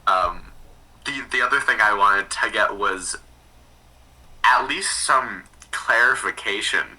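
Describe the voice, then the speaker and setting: A man chatting casually and telling stories in a halting way, often repeating words and restarting sentences. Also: fairly low pitch, bright, forceful tone, slightly rough, far from the mic, poor audio. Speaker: podcast speaker recounting research projects. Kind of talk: casual conversational storytelling.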